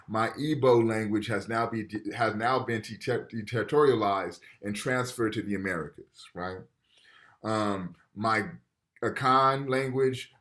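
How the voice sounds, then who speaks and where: A man in his thirties giving a casual college lecture over a video call from a room at home, reading passages aloud and explaining them in a conversational way. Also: mid-range voice. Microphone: video call webcam.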